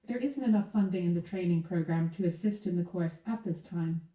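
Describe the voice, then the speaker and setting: A woman's voice: on the low side, a little fast, warm tone, distant mic, natural voice. A woman playing an officious factory supervisor in a radio play, reporting formally and matter-of-factly. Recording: echoey room, away from mic.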